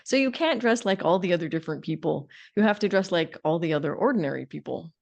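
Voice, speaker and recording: A woman's voice: fairly low pitch, animated, fast, gravelly. A woman co-hosting a podcast, talking casually and informally with a sardonic, opinionated edge. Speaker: podcast co-host. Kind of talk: casual conversational discussion.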